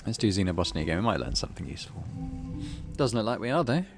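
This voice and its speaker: British male gaming host, lowish voice with very varied pitch, casual commentary and read-aloud narration. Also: big pitch swings, very fast, gravelly, faint music behind. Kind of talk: casual playthrough commentary, reading game text aloud.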